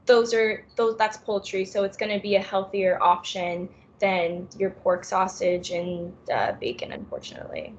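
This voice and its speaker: A woman's voice: mid-range voice, moderate pace, hardly any pauses, slight background noise. A young woman in her twenties, leading a casual health Q&A on a video call, talking in a chatty way with plenty of fillers and laughs. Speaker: wellness presenter. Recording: slightly dull video call audio.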